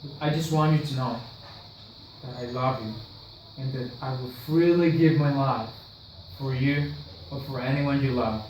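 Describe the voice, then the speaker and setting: A man acting as a devoted, self-loathing gentleman in love, in an amateur period drama scene. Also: medium pitch, fairly even intonation, moderate pace, long pauses, lightly acted. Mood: solemn, lovelorn. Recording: echoey room, far from mic, faint noise.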